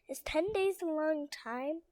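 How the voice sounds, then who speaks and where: A woman's voice: high voice, slow, darkish tone. A woman voicing a young boy in a radio soap drama, childlike and insistent, anxious but stubbornly brave. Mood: curious, unsure.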